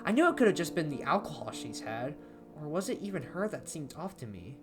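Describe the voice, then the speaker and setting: A woman with a low voice narrates a creepy first-person story. Her delivery is dramatic and very varied in pitch, with phrase endings falling away to a hush. Soft plucked guitar plays behind her. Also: sing-song, a little fast. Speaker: amateur horror story reader. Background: plucked guitar music.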